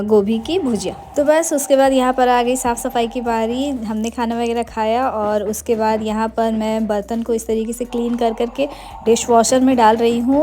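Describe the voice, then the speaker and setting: A woman's voice: on the high side, mellow. A young adult woman narrating a casual daily-life vlog, chatty and meandering, mixing English words into her Hindi. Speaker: vlogger.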